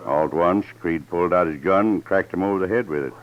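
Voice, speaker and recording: A man's voice: deep voice, fast talker, a crowd in the background, natural voice. An actor playing a plainspoken western barman in a 1950s radio drama, folksy and matter-of-fact. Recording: muffled old radio recording.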